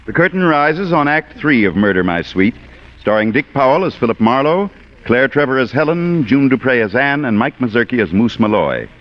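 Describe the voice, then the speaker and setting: A man's voice: mid-range voice, expressive, normal speed. A man presents a radio drama in formal 1940s style. His delivery is theatrical with very varied intonation. The old recording sounds muffled. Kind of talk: 1940s scripted drama introduction.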